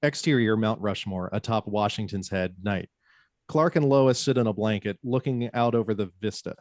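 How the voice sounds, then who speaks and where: A man's voice: lowish voice, moderate pace, darkish tone, no room sound. A man narrating scripted stage directions during a table read.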